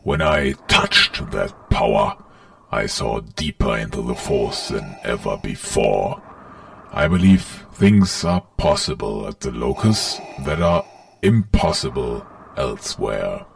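A man playing a grim masked dark lord in an audio story, gravelly, measured, solemn and commanding. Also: huge pitch swings, no echo, recorded from a distance, exaggerated voice. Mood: solemn, resolute. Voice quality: gravelly, very deep. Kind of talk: dramatized villain dialogue in audio story.

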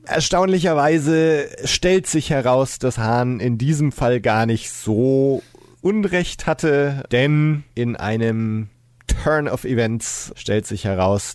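A man's voice: medium pitch, a little mellow, dry recording, clean studio sound. A man on a podcast retelling a story in an informal, explanatory way. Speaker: podcast co-presenter.

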